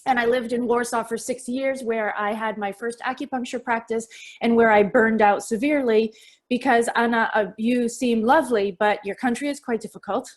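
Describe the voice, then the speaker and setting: A woman's voice: a little high, fairly even intonation, normal speed. Chatty woman presenting on a video call. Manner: chatty and enthusiastic, addresses the audience directly. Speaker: burnout speaker presenting a webinar. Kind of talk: lively webinar talk to remote attendees. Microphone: computer mic on a video call.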